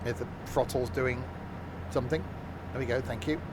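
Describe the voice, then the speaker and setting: A man talks his way through a train game for a live audience, loose and jokey, with a voice sitting at an ordinary middle pitch. He meanders, corrects himself often and keeps up a running banter with his chat. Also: fairly even intonation, normal speed, background noise. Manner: rambling, jokey, chats with live viewers; frequent ums and self-corrections. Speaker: streamer. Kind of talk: casual gameplay commentary.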